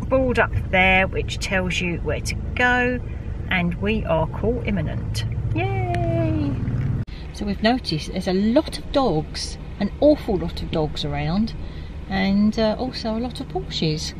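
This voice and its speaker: Woman vlogging on the go, chatty, loud traffic rumble behind her. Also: expressive, slow pace. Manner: chatty, giving a walking tour. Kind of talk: casual travel vlog narration. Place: car and ferry terminal. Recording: loud road and car noise.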